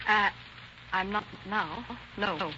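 A woman's voice: medium pitch, slow pace, pushed and bright. A woman playing a stubborn, defensive murder suspect in a radio crime drama, evasive and insistent. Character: defensive, stubborn female murder suspect shielding her lover. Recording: dull, noisy old radio recording.

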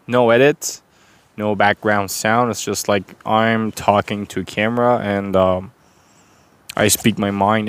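A young man with a Persian accent talks unscripted into his phone camera, his voice sitting on the low side. He rambles and hesitates, with plenty of fillers and restarts. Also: clear, resonant tone. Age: young adult. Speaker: vlogger.

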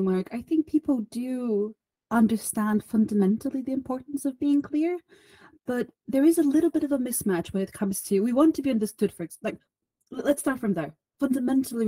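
Chatty Bulgarian-born woman, mellow voice. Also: fairly high pitch, slightly rough, no echo. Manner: chatty, self-deprecating, many restarts and fillers. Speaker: podcast guest, solutions engineer. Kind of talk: conversational interview.

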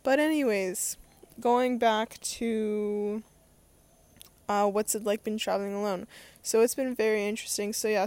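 A woman's voice: medium pitch, a little mellow. A young adult woman talking in a casual, unscripted monologue as a podcast host, rambling and hesitant as she thinks aloud.

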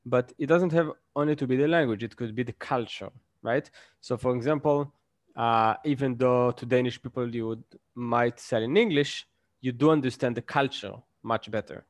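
A man's voice: medium pitch, moderate pace, no echo. A man, a guest in a casual interview, explains his points with examples and often checks in with "right?".

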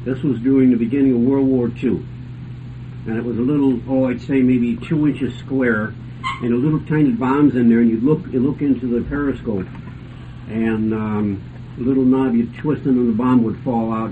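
Older male, mid-pitched voice, jovial rambling recollections, casual chat, narrow phone-like sound, light noise. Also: fairly even intonation, normal speed. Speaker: radio club member and show panelist. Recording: noisy phone-like lo-fi radio sound.

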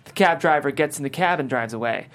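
Narrator reading script action lines and scene headings, middle pitched voice, table read style. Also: quick pace, fairly bright tone. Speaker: narrator reading stage directions.

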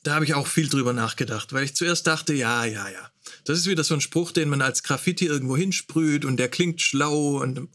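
A man's voice: a little high, fairly bright tone. A man speaking as a host in an informal, personal lecture, thinking aloud and correcting himself as he goes. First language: German. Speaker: host teaching songwriting.

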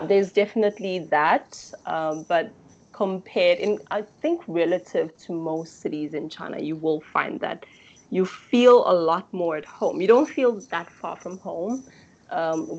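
South African woman, interview guest, mellow lowish voice, thoughtful hesitant phrasing, slightly muffled recording. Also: unhurried. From Durban, South Africa. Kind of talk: reflective conversational interview.

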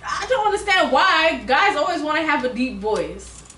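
A young woman chatting casually on a podcast, telling animated stories, laughing often and swearing freely. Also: sits high, moderate pace. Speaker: podcast guest. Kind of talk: casual chat. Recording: roomy, distant mic, faint hiss.

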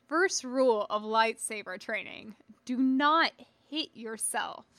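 Woman as resolute male knight, measured and formal. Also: a little high, fairly bright tone, dry recording. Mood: instructive, dry. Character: principled, resolute male Jedi knight and patient teacher.

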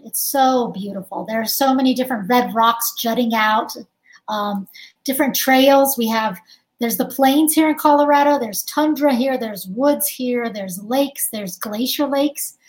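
A chatty woman with a high voice, speaking as an interview guest. She talks casually, laughs easily and keeps saying "like". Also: moderate pace, poor audio. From United States. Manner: chatty, laughs often, says "like" a lot. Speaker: guest musician.

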